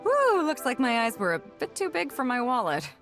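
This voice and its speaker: Confident female captain, fairly high voice, animated intonation, breezy, hearty, casual, game dialogue, faint music. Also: normal speed, full character voice. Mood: breezy, generous. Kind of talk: scripted video game dialogue acting.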